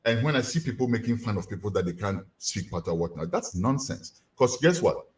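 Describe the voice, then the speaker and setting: Ghanaian-accented male lecturer, lively swooping delivery, rhetorical and animated. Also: fairly low pitch, a little fast. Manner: animated, rhetorical questions to the audience. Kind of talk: community lecture.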